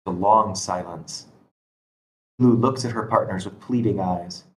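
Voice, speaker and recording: A man's voice: fairly low pitch, a little slow, low-quality recording. A man reading scripted stage directions as narrator at a screenplay table read. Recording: constant background noise.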